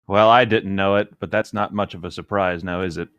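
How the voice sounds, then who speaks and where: A man's voice: low-pitched, fairly even intonation, fairly quick, a bit bright, dry recording, muffled recording. A man co-hosting a podcast in casual chat, commenting along as a book is read aloud. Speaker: podcast co-host.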